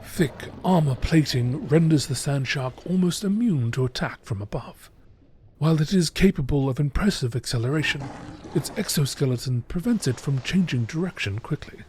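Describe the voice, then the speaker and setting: A man reading scripted text as a narrator in nature documentary style, with a measured, matter-of-fact, formal delivery. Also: a little high, animated, a little mellow, slight background noise. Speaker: narrator reading out game lore.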